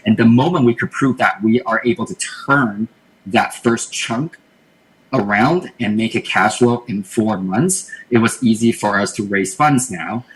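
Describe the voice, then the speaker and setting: A man's voice: lowish voice, rough recording. A man from Taiwan, a podcast guest, explaining his plans in a thoughtful, casual way and often repeating words as he thinks. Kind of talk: casual interview talk.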